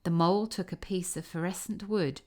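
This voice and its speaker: A woman reading a classic fairy tale aloud as a storybook narrator, expressive and careful. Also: lowish voice, normal speed.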